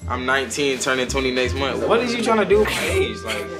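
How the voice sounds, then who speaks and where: A young man of nineteen talks straight into his own camera in a house, his voice at a middling pitch. He is slangy and excitable and jumps into loud bursts. Loud music plays under him the whole time. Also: fast talker. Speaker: vlogger. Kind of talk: casual vlog chat. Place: house interior.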